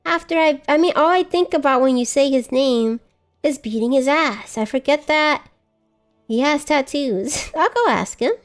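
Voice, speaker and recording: A young woman live-streaming a video game, giggly and animated as she reacts and chats. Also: high voice, moderate pace, soft background music.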